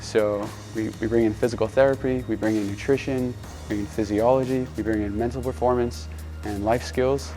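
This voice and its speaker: A man who works as a performance coach, speaking in a measured, explanatory documentary interview. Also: mid-range voice, fairly even intonation, mellow, soft background music.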